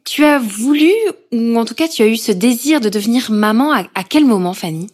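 A French woman who hosts a podcast, reading a scripted intro and then asking questions gently with some hesitation. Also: fairly high pitch, expressive, fairly quick. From France. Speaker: podcast host and journalist.

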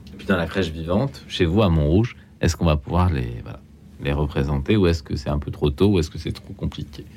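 A male radio host with a very low voice, leading a live phone-in discussion. He feels his way through questions, rewording them and stepping in to steer the talk. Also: a little fast, warm tone, a little hiss or hum.

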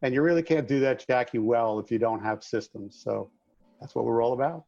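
A middle-aged man with a slight New York accent tells his story in a chatty, folksy manner, often using the host's name. His voice sits at a middling pitch and comes through a slightly dull, remote-sounding line. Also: fairly quick, creaky, warm tone. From Long Island, New York. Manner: upbeat, folksy, addresses host by name. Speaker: guest, trades business owner. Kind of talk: casual interview chat.

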